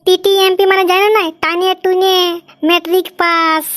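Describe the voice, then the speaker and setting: A woman voicing a cheeky, quick-witted young village bride-to-be in a cartoon, answering back smugly. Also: very high-pitched, little pitch movement. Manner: cheeky, explanatory back-talk. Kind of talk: cartoon comedy dialogue.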